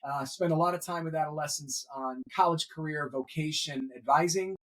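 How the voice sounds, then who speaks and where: A middle-aged man, a grandfather, speaking earnestly as an interview guest, pausing often with fillers. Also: on the high side, a bit bright. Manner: earnest, hesitant with many fillers. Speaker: guest, veteran educator. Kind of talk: conversational interview.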